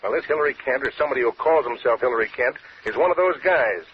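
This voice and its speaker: An actor playing a blunt, bossy, excitable homicide inspector in an old-time radio drama. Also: male voice, mid-range voice, fast talker, close to an everyday voice. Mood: urgent. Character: no-nonsense, excitable American male homicide inspector. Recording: muffled, noisy vintage radio recording.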